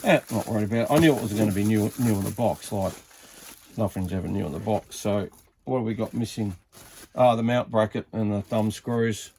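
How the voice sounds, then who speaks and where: A man's voice: on the low side, fairly quick, a little mellow. A man giving a casual, informal unboxing commentary, muttering to himself as he handles things. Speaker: hobbyist reviewer of vintage CB radios. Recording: clear background noise, handling clicks.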